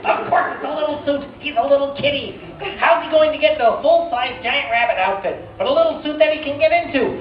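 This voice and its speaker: A woman's voice: high voice, fast talker, hardly any pauses, natural voice. A woman voicing a theatrical, self-pitying clockwork tailor machine in an audio drama. Heard in a distant, roomy, dull recording. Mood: explaining.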